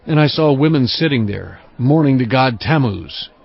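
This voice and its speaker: A man narrating a dramatized scripture reading in a measured, steady storytelling way. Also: mid-range voice, gravelly. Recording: dull lo-fi audio with music bed. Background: music.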